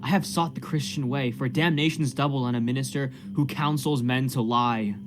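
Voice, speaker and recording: A man's voice: mid-range voice, fairly even intonation, moderate pace, lightly acted. A man playing an anguished, guilt-ridden minister in a radio play, pleading fervently in formal, archaic period language. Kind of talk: period stage drama acting in a radio play.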